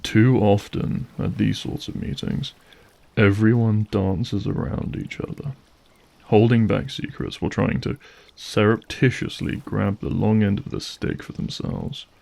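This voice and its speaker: A man performs an aristocratic monster lord from an audio drama. His voice is lowish with a marked creak, and his words are formal and silkily gracious, those of a calculating ruler who has known grief. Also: steady tone, measured pace, warm tone, exaggerated voice. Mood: smooth, diplomatic. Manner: formal, gracious, silky courtesy.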